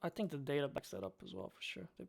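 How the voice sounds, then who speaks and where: A man with a Serbian accent and a slightly higher pitch, with a gravelly, fry-laced voice. He talks bluntly in a casual podcast chat, joking and swearing offhand. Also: very animated, rapid-fire. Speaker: podcast co-host.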